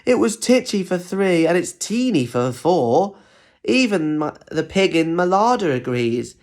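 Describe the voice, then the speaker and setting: A man voicing a fretful, grumbling little old lady in a children's picture-book reading, with a plaintive, drawn-out delivery. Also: high voice, big pitch swings, darkish tone, pitched above the performer's own voice, exaggerated voice. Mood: distressed, pleading.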